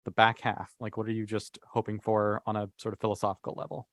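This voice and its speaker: A man's voice: lowish voice, completely flat delivery, fast talker, fairly bright tone, no echo. A man talking informally and playfully as a panelist in a casual podcast discussion.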